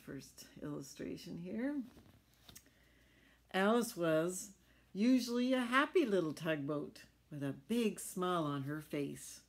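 Middle-aged female storyteller for a picture book: slow, gravelly, explains and checks in with young listeners. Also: fairly low pitch, lively intonation. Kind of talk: children's read-aloud with chatty asides. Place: small furnished room at home.

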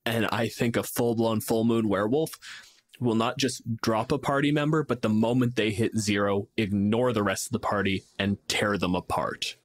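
A man's voice: fairly low pitch, steady tone, normal speed. Man casually co-hosting a gaming podcast. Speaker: podcast co-host and game master. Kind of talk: casual tabletop gaming discussion.

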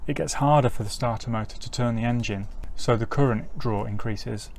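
A man's voice: medium pitch, moderate pace, a little mellow. A middle-aged man working as a mechanic, giving an informal, step-by-step technical tutorial outdoors on a driveway. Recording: clear ambient noise, outdoor driveway.

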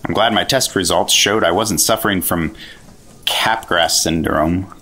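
Man playing a deep, low-key FBI agent. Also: a little slow, pushed and bright, heavily acted. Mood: subdued, doubtful. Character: male 1950s FBI agent, subdued, skeptical colleague. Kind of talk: radio drama acting.

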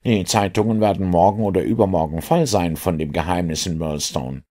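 A man's voice: deep voice, a little fast, warm tone. A man voicing an earnest, sceptical police inspector with a questioning, insistent manner in an audiobook. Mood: puzzled, probing.

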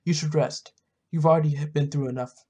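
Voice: medium pitch, fairly even intonation, fairly quick, warm tone, breathy edge, lightly acted. A gamer voicing an earnest, concerned town merchant in a video game roleplay, reading with emphatic delivery. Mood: grave, earnest.

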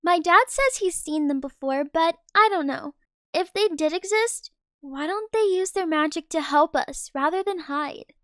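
A young woman voicing Hazel, a kind, lonely teenage schoolgirl, speaking softly and slowly. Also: extremely high pitch, no echo, studio quality. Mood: curious. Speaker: voice actor. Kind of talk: amateur voiced game movie.

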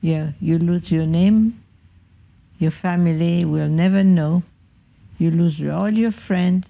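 A woman's voice: fairly low pitch, takes it slowly, creaky. An older woman speaking in an interview, terse and emphatic, on muffled audio. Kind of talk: interview reminiscence.

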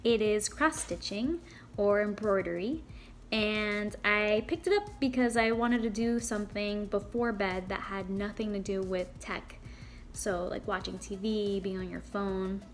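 A young woman sending a casual video message from home, recommending a calm hobby in a gentle way. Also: mid-range voice, measured pace, faint music behind. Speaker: viewer sharing a new hobby.

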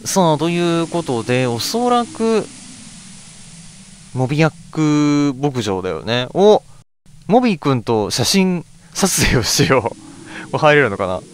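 A man's voice: a little high, big pitch swings, slow pace, slight background noise. A man hosting a let's play, chatting casually to viewers and reading bits of on-screen text aloud as he goes.

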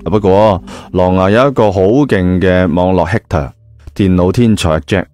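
A man's voice: low-pitched, moderate pace, darkish tone, no room sound. A man with a Hong Kong Cantonese accent telling a story in a colloquial narrating style. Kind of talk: colloquial audio-novel narration.

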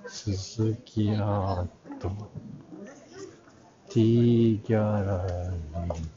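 Low-voiced young man, leisurely, in a crowd. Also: steady tone, extremely slow. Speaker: vlogger. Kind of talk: casual walking commentary. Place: large busy art fair hall, indoors. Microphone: wide-angle camera held at arm's length. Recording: dull lo-fi sound, busy crowd. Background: crowd chatter.

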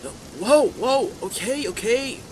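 A man playing a wounded, nervous teenage boy hero in an amateur ASMR audio drama, speaking haltingly with stammers. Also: very high-pitched, lively intonation. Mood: pained, panicked. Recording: heavy rain and thunder noise.

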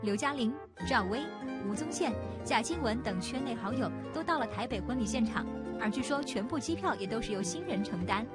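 A woman's voice: fairly high pitch, animated, fairly quick. A woman reporter reading scripted entertainment news narration. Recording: loud music under voice. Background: loud music.

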